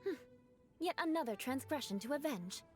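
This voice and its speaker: A woman's voice: high-pitched, lively intonation, moderate pace, darkish tone. A woman voicing a proud young noblewoman knight who speaks formally and aloofly, making dry threats of vengeance, in video game dialogue. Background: game music.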